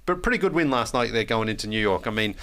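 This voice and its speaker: A man's voice: lowish voice, lively intonation, quick pace, a bit bright. A man co-hosting a sports podcast, giving casual analysis and often rephrasing as he makes his point.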